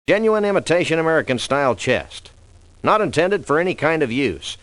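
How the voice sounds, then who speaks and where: A man voicing a wry, unflappable cartoon dog detective in a video game, with a dryly wordy, deadpan manner. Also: a little high, brisk, dry recording. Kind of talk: scripted adventure game voice lines.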